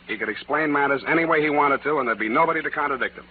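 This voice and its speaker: An actor playing a cocky, sardonic private detective in a 1940s radio drama, on a tinny, noisy recording. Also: male voice, fairly high pitch, rapid-fire, natural voice. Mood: teasing, impatient. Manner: sardonic, clipped, teasing.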